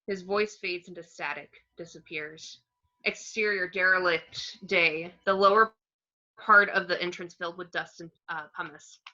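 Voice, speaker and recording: A woman reading screenplay action descriptions as narration, in a plain, measured way. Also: lowish voice, steady tone, sharp tone. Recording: slightly dull home microphone.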